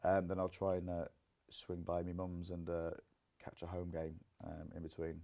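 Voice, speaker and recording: Low, mellow-voiced young comedian. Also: male voice, completely flat delivery, moderate pace, creaky. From Grimsby, England. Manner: rambling, many fillers. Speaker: comedian and singer. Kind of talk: casual interview chat.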